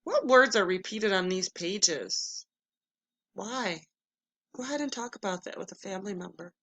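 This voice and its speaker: A woman's voice: mid-range voice, animated, moderate pace, a bit bright. A woman teacher giving a read-aloud lesson for children, moving between story narration and instruction. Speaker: elementary school teacher.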